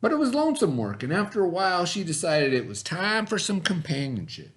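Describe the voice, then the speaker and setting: A middle-aged man with a slightly high voice reads a picture book aloud to children. His telling is folksy and unhurried, and he jumps into higher voices whenever the characters speak. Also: wildly swooping pitch. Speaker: teacher.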